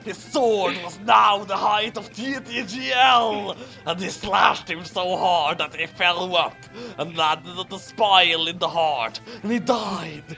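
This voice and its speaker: A man's voice: high voice, slow pace, creaky, hardly any pauses, pushed and bright, poor audio. A man doing a casual comic read-along with friends, with a theatrical, exaggerated delivery. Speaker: host reading a fan story aloud with friends. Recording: music behind the voice. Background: background music.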